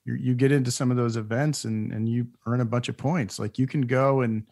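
Gravelly voiced host guy, thinks out loud about golf rankings. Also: mid-range voice, fairly even intonation, a little fast. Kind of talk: casual panel discussion.

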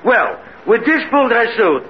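A man's voice: extremely high pitch, sing-song, unhurried, full character voice. A man playing a dim, earnest, literal-minded bridegroom in a radio comedy, speaking in a naive way. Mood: earnest, puzzled. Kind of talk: 1950s radio comedy acting. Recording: muffled, noisy old radio broadcast.

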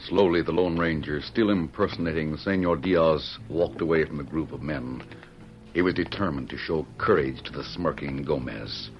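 An actor as the solemn, suspenseful narrator of an old-time radio western adventure. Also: male voice, very deep voice, normal speed. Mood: tense. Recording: muffled old radio recording. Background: dramatic music.

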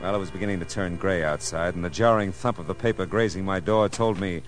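An actor playing a weary, wry, hard-boiled private detective in a 1940s-style radio drama. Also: male voice, low voice, quick pace, faint music behind, lightly acted. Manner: wry, dry, world-weary. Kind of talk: 1940s radio drama acting, first-person narration. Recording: noisy vintage radio drama recording.

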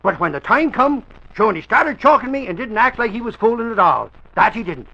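A man's voice: high-pitched, very animated, brisk, gravelly, sharp tone, lo-fi sound, heavily acted. A man playing a boastful, blustering Irish would-be actor in an old-time radio comedy drama. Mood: defensive. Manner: blustering, defensive.

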